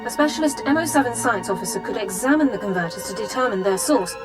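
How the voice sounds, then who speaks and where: A woman's voice: on the high side, animated, fast, lightly acted. Actress doing strict army sergeant lady, very by the book, game dialogue with music behind. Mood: brisk, analytical. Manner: formal, regimented, clipped military phrasing. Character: crisp, by-the-book female military sergeant, formal and dutiful. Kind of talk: video game character acting.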